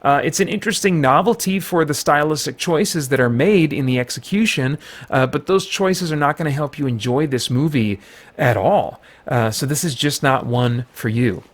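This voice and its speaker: A man's voice: fairly high pitch, moderate pace. A man giving an unscripted review to viewers as the host, conversational and often correcting himself as he goes. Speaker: film reviewer, channel host.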